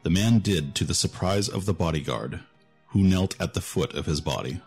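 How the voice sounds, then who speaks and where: Male narrator, low pitch, scripted storytelling, music bed. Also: lively intonation, normal speed, darkish tone, husky.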